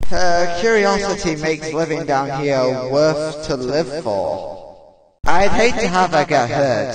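Man playing a slow, sad young animatronic clown. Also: on the high side, rough recording. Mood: downcast, apologetic. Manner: hesitant, halting, pleading. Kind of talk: emotional comic dub acting.

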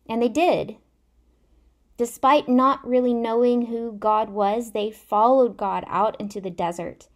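Slow-paced woman telling a story. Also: mid-range voice. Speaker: church storyteller. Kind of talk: storytelling of a Bible tale in simple words. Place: small indoor room at home. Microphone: laptop or webcam at arm's length.

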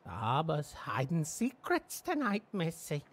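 A sly drawling dockside stranger. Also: male voice, on the high side, wildly swooping pitch, a little slow, a little hiss or hum. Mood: sly warning. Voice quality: drawling, folksy slang. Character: shifty male dockside stranger, sly and gruff. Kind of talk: video game voice acting.

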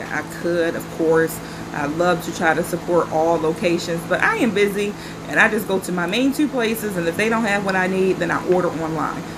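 A woman's voice: mid-range voice, background noise. A woman in her forties from the Carolinas with a stuffy nose and a slightly nasal voice, chatting casually to camera as a vlog host. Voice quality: a little nasal. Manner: relaxed, chatty, smoking while she talks. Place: outdoor patio at night.

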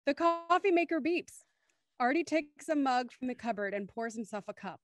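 A woman's voice: high voice, expressive, normal speed, dry recording. A woman hosting an online script reading and narrating scene directions. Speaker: host reading stage directions. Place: home, on a video call.

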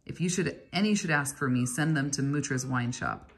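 Woman reading as short-tempered master craftsman, curt and bellowing, low gravelly voice. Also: normal speed, heavily acted. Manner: bellowing, gruff. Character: gruff ill-tempered grown man, stone-cutter master.

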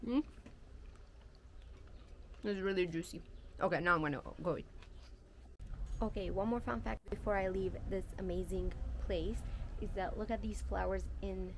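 A woman's voice: medium pitch, moderate pace, pauses often. Young woman vlogging outdoors over background noise. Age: young adult. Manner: chatty, playful. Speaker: travel vlogger. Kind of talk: casual travel vlog talk. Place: mostly outdoors, porch, garden and street. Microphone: camera at arm's length, sometimes small handheld mic.

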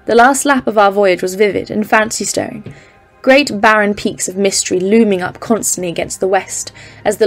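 A woman's voice: mid-range voice, natural voice. A woman playing a composed expedition leader, narrating a polar journal in a scripted audio drama. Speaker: narrator and lead character.

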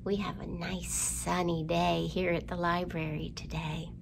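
Middle-aged woman, children's storytime reader, unhurried, expressive sing-song delivery, creaky edge, indoor room ambience. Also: on the low side, background noise. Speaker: children's library storytime host. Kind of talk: storytime rhymes and picture book reading for young children.

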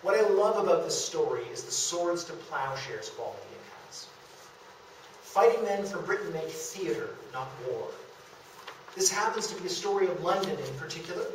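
A man's voice: fairly high pitch, animated, moderate pace. A young man presenting a prepared, scripted public lecture at a podium in a meeting room. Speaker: lecturer presenting a local theatre history talk. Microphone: distant camera microphone. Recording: echoey room, distant camera, background hiss.

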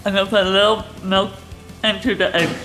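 A woman's voice: mid-range voice, fairly even intonation, brisk, fairly bright tone. A young woman hosting a home cooking show in a cabin kitchen, explaining each step in short, simple sentences. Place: cabin kitchen with wooden walls, indoors. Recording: roomy with music behind.

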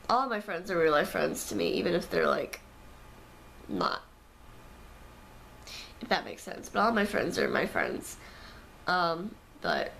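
A woman's voice: mid-range voice, creaky, fairly bright tone, slight background noise, poor audio. Chatty young American girl on webcam. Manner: rambling, filler-heavy, chatty. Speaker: vlogger. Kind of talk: casual webcam chat. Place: bedroom, indoors. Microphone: webcam at close range.

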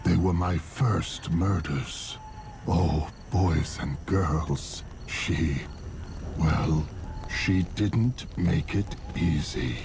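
An actor playing a murderous, obsessive clown killer who gloats in slow, menacing narration. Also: male voice, background noise, heavily acted. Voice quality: low gravelly drawl. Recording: loud music score behind.

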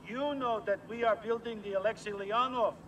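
A sly, measured Soviet scientist. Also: male voice, sits high, steady tone, a bit bright, distant mic, dull audio, slight background noise. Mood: probing. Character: sly calm Soviet scientist, middle-aged man, probing. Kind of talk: film dialogue.

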